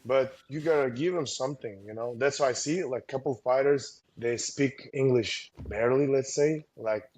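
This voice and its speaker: A man speaking as a guest coach in a relaxed interview, his voice mellow and dark in tone. He talks haltingly, stopping and restarting, and piles up fillers as he works toward his point. He sounds earnest, as if handing out practical advice. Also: mid-range voice, slightly rough, rough recording. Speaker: combat sports coach, guest. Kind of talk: casual interview talk.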